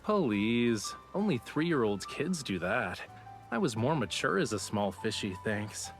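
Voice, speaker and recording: A man's voice: mid-range voice, very animated, slow, faint music behind. An actor playing a playful, teasing young male artist of the sea folk in a game. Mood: mock offended. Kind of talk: game voice acting.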